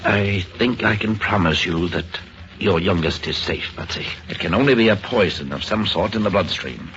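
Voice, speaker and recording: Low voiced worn out doctor, earnest, hissy muffled old radio. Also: male voice, moderate pace, a bit bright. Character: country doctor, puzzled and defeated. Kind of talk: 1930s radio acting.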